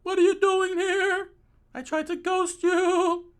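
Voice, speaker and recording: A man's voice: extremely high pitch, steady tone, extremely slow, fairly bright tone, voice pushed up, exaggerated voice. A man voicing a proud, melodramatic and bitter male attorney from a video game, in a pompous, theatrical manner. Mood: startled, dramatic. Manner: pompous, theatrical, strained outbursts. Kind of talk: video game character read-aloud.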